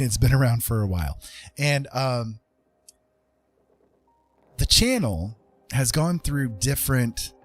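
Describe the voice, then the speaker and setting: A man's voice: medium pitch, slightly rough. A man hosting a livestream, chatting casually and personably to viewers in a rambling way. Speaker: livestream host.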